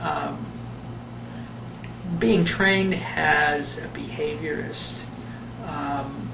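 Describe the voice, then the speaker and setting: A slow, deliberate older man talks through his thoughts in an interview, his voice pitched a little high. He is recorded from a distance in an echoey room. Steady background noise runs under him. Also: gravelly. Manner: slow, thoughtful, with many pauses and fillers. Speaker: retired behavior psychologist, volunteer. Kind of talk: interview answers.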